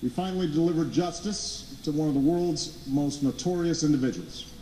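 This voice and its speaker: A man reading broadcast news with a smooth, measured delivery. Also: a little high. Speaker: news anchor. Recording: echoey news clip with faint noise.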